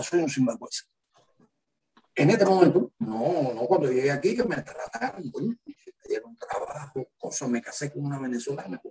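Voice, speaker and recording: An older man from Cuba with a Cuban Spanish accent, a guest analyst talking in an emphatic, lively way. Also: a little high, low-quality recording. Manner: lively, emphatic, acts out quotes in varied voices. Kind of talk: animated interview talk.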